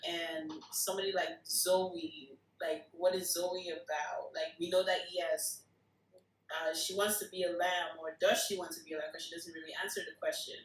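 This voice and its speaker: A woman's voice: fairly low pitch, steady tone, normal speed. A woman giving casual feedback as a participant in a group discussion. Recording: echoey room.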